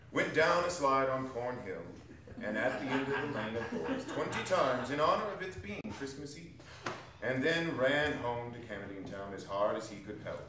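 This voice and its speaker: Heard from far off in a very echoey hall, a man narrates a classic tale on stage. His medium-pitched voice swings widely and expressively as he tells it. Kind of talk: theatrical dramatic reading of a classic story. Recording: very echoey hall, distant mic, faint noise.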